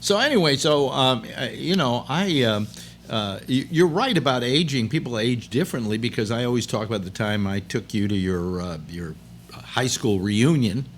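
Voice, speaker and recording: A man in his late seventies hosting a casual chat, rambling and halting with many restarts. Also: mid-range voice, a little hiss or hum. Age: 70s. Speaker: host.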